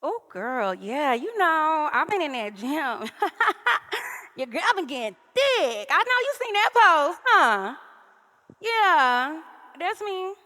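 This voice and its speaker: A chatty, sassy young American woman, acted by a woman on stage, with a bright forceful voice. Her casual talk swings up and down in pitch and rings through a theatre hall. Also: very animated, slow, exaggerated voice. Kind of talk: casual urban stage-play dialogue. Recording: stage hall with long reverb.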